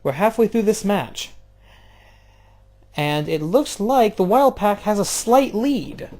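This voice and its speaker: A man doing casual play-by-play of a video game wrestling match, jumping between loud outbursts and low, offhand, swearing asides. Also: slow. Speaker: play-by-play commentator.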